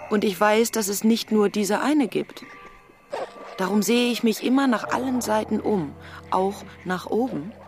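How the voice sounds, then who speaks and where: A self-assured young she-lizard of a radio play, acted by a woman, clever and battle-wise. Her mid-pitched voice is matter-of-fact and measured, with music clearly running underneath. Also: lightly acted. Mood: cool, knowing. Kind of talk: German radio drama acting.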